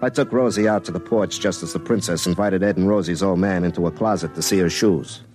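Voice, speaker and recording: A man's voice: low-pitched, fairly quick, darkish tone, close to an everyday voice. An actor playing an earnest, hapless young telephone lineman, telling his own story in a folksy, self-dramatizing way in a comic radio drama. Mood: troubled, rueful. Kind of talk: first-person comic radio drama narration and dialogue. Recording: classic radio show with music underneath.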